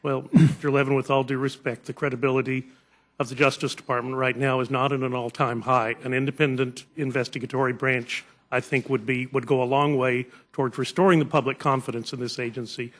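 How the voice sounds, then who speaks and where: Guy speaking at a hearing, formal and measured, pretty monotone, mid pitch. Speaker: committee member.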